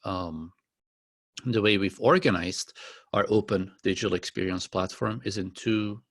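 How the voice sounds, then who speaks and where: Middle-aged dutch-native guy giving a company talk, low gravelly voice, lots of ums. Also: a little slow, dry recording, studio quality. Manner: relaxed, uses many fillers and restarts. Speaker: company founder presenting to partners. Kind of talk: corporate presentation.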